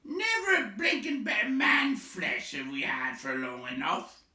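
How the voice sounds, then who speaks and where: A coarse, hungry storybook troll, loud and forceful. The voice swoops up high as it grumbles in rustic slang, with the room echoing around it. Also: male voice, animated, measured pace, full character voice. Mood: disgruntled. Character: grumbling, hungry, coarse male troll complaining about food.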